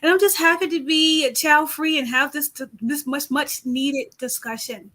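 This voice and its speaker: A woman in her forties with a high-pitched voice speaks on a panel. She introduces herself politely. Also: steady tone, a little slow, a bit bright. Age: 40s. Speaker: panelist, graduate student.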